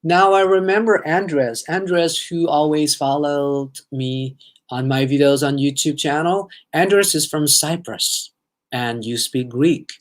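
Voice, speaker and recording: A man's voice: a little high, steady tone, slow pace. A young man from Thailand with a Thai accent, hosting a casual live stream, chatting with viewers and reading their comments aloud. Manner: chatty, reads out viewer comments, sprinkles in foreign phrases. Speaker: livestream host.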